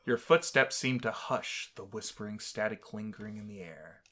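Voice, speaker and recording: A man's voice: fairly low pitch, normal speed, sharp tone. Guy reading out visual novel narration for a gaming video, storyteller style. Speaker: gaming channel host reading aloud.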